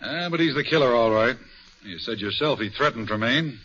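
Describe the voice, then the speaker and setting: An actor playing a brisk, confident police inspector in a 1940s radio mystery, talking in blunt, emphatic, colloquial style. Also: male voice, mid-range voice, lively intonation, pushed and bright, a little hiss or hum. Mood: confident, triumphant. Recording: muffled vintage 1940s radio recording.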